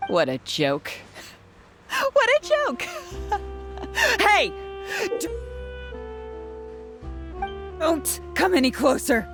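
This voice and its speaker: A woman's voice: high-pitched, wildly swooping pitch, takes it slowly, music in the background, heavily acted. A woman voicing a bitter, cornered female villain and turncoat guard in a video game, with a scornful, theatrical, volatile delivery. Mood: unhinged, desperate. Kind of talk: dramatic video game voice acting.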